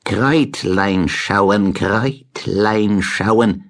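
A man voicing a sinister, ragged old woman in a fairy tale, with an unpleasant croak and slow, repetitive muttering. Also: on the low side. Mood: creepy, probing. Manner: slow, muttering, repeats her words.

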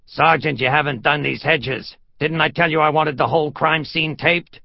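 A man's voice: steady tone, moderate pace, gravelly, lo-fi sound, slight background noise. A man voicing an overbearing, self-important police lieutenant in an audiobook, with a menacing, sarcastic bark. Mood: bossy, scolding. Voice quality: menacing, low-pitched. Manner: sarcastic, barking. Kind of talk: audiobook character voice.

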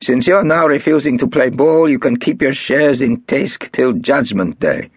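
A man voicing a desperate, scheming male businessman with a shrill, wheedling voice. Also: huge pitch swings, unhurried, gravelly, slightly rough, no echo, clean studio sound, exaggerated voice. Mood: shrill angry.

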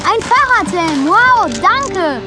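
A woman's voice: extremely high pitch, takes it slowly, over loud music, full character voice. A woman voicing an eager, polite seven-year-old boy in a children's audio drama. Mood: eager, happy. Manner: eager, excitable.

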